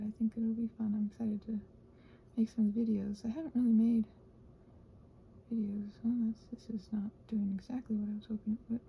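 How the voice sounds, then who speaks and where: A woman chatting casually while crafting, soft and often dropping to a near-whisper, hesitant and thinking aloud, with playful little exclamations. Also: fairly high pitch, vocal fry, muffled recording, a little hiss or hum. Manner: hesitant, thinking aloud, trailing off mid-sentence. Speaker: host of a crafting ramble channel. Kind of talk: casual rambling craft chat, ASMR-like.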